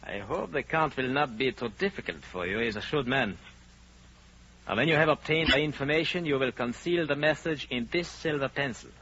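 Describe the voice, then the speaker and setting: An actor playing a high-ranking spymaster in a 1930s radio drama, formal, deliberate and commanding, on a dull, noisy old recording. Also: male voice, a bit bright, close to an everyday voice. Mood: commanding, businesslike. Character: authoritative, secretive male spymaster of high rank.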